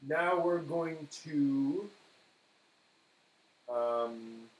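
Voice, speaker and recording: A man's voice: on the high side, extremely slow. Rambling man in an echoey room. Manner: rambling, self-correcting, muttering to himself while working. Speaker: live stream host. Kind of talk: casual cooking demonstration, talking through steps. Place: indoor kitchen at a counter. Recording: echoey room, clear background noise.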